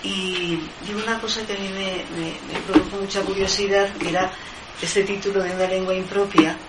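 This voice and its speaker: A woman's voice: mid-range voice, fairly even intonation, moderate pace. A woman interviewing a poet, hesitant and full of restarts as she works toward her questions. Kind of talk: literary interview. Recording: echoey room, noisy background.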